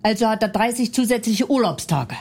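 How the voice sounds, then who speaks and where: A woman's voice: on the high side, expressive, fairly quick, noisy background. A woman in a comedy sketch, talking bluntly and brashly, ranting, mocking the other person and laughing often.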